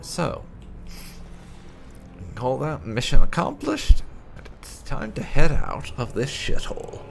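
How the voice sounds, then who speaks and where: A man hosts a let's play with dry, sarcastic commentary. His voice is gravelly and unhurried, swinging very widely in pitch, while game music plays clearly behind him. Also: huge pitch swings, slight background noise. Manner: dry, sarcastic asides, swearing. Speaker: gaming video host. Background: game music and sounds.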